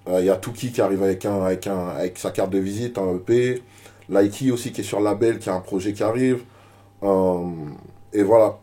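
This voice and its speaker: A man's voice: lowish voice, fairly even intonation, a little fast, warm tone. A rapper being interviewed, talking casually and often repeating words while he searches for them. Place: media office.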